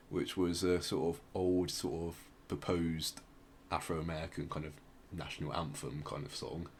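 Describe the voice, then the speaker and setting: A man's voice: low-pitched, darkish tone, a little hiss or hum. A man hosting a podcast, explaining things in casual chat. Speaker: podcast host.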